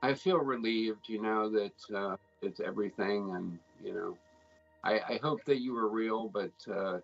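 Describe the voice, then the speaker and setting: Middle-aged man, gravelly low voice, halting delivery, muffled audio with soft music. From Ohio, United States. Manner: hesitant, halting, many fillers. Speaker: romance scam victim.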